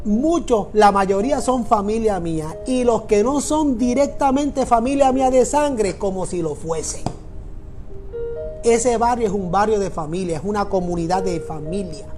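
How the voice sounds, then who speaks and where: Middle-aged Puerto Rican male pastor, warm mellow tone, emphatic repeating sermon delivery, faint music bed. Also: high voice. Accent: Puerto Rican Spanish. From Puerto Rico. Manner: emphatic, repeats phrases for weight.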